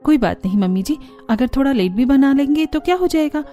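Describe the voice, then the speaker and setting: A woman's voice: high voice, lively intonation, a little fast, mellow, soft background music, voice pushed up. Woman acting a weary, grumbling elder daughter-in-law. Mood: placating. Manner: grumbling, placating. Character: weary, evasive elder daughter-in-law, dreading kitchen duty, cartoon.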